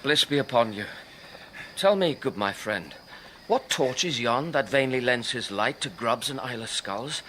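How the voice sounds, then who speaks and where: An actor playing an older, anxious friar in a classical radio drama, formal and urgent. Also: male voice, mid-range voice, lively intonation, a little slow, sharp tone, a little hiss or hum, rough recording. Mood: anxious searching. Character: kindly old friar, anxious and horrified.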